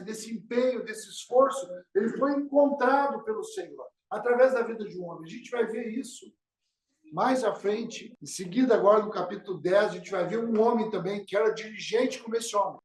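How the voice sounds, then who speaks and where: A man preaching a sermon, full of rhetorical questions and calls of amen. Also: high-pitched. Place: church hall. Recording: echoey church hall.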